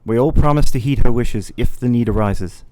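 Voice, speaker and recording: A man's voice: medium pitch, fairly quick, warm tone, dry recording, close to an everyday voice, poor audio. A man plays a resolute young Victorian husband, devoted and tormented, in a radio drama. His mid-range voice is recorded very dry. He speaks with grave, formal restraint.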